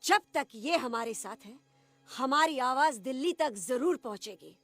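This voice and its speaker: Casting: resolute animal mother for animated drama, high-pitched, sharp, declamatory, proud. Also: measured pace, no echo, soft background music, exaggerated voice. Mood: indignant, resolute. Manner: firm, declamatory. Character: proud, indignant mother animal, firm and principled. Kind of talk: animated film dramatic acting.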